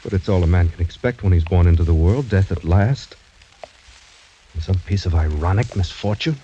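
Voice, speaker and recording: An actor playing a proud, doomed young French gentleman in formal, courtly, brooding old-time radio drama style. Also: deep voice, normal speed, a little hiss or hum, lightly acted. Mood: bitter. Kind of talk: 1940s-50s American radio drama acting. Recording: dull, muffled old radio broadcast recording.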